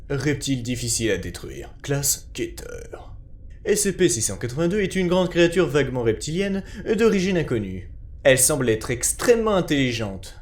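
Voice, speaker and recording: A man's voice: mid-range voice, a little mellow. A male narrator with a mid-range voice reads from a script. His delivery is formal and clinical, in the manner of an official report.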